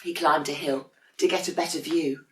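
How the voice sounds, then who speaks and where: A woman, a teacher, reading a children's storybook expressively with dramatic pauses in an echoey room. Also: low voice, fairly even intonation, a bit bright, slight background noise.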